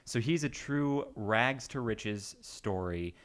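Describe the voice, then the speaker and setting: A man's voice: medium pitch, animated, slow. A man hosting a podcast in a casual, chatty and jokey way with slangy wording. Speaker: podcast host. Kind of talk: casual chat.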